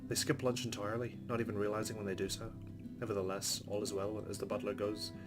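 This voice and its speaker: A man's voice: lowish voice, little pitch movement, quick pace. A man hosting a let's play, reading visual novel narration aloud and commenting as he goes. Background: guitar game music.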